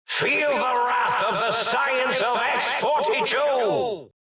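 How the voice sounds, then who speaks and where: An actor playing a manic, grandiose old mad scientist villain in a video game, gravelly and melodramatic. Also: male voice, very high voice, slow, sharp tone, far from the mic, full character voice. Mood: manic, commanding. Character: manic, theatrical mad old scientist commanding robot scorpions.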